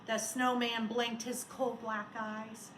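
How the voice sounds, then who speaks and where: Casting: female teacher for an interactive kids' read-aloud, unhurried and coaxing, in a roomy, echoey space. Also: fairly high pitch. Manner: expressive, coaxing, talks directly to young children. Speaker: teacher reading a storybook aloud. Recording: roomy echo, faint background noise.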